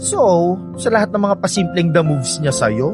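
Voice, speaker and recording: A woman's voice: fairly low pitch, lively intonation, moderate pace, lightly acted. A woman playing a nosy, playful friend in a radio drama, prying and teasing about romance. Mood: teasing, prodding. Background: clear background music.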